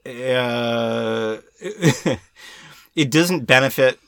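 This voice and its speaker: A man co-hosting a casual film chat, speaking in a halting, mulling way with repeated false starts. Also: medium pitch, fairly bright tone, clean tone, dry recording, clean studio sound. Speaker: podcast co-host.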